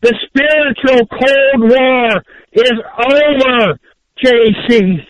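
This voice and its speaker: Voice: high voice, takes it slowly, slight background noise. A caller with a grating voice ranting theatrically in an indignant, pompous, preachy way, speaking in the third person over a phone line. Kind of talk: theatrical fire-and-brimstone rant in the third person. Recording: dull lo-fi phone call.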